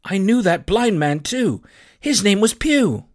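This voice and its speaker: A man's voice: sits high, big pitch swings, unhurried, pitched above the performer's own voice. A man voicing an earnest, polite young cabin boy in an audiobook adventure story. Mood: eager, polite. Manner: earnest, exclamatory.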